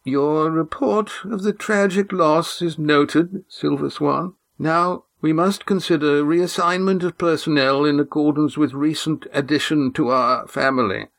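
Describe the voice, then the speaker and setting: A man's voice: fairly high pitch, fairly even intonation, unhurried, creaky, hardly any pauses, fairly bright tone, pitched above the performer's own voice. A man voicing a frail old patriarch with a thin but firm, formal voice.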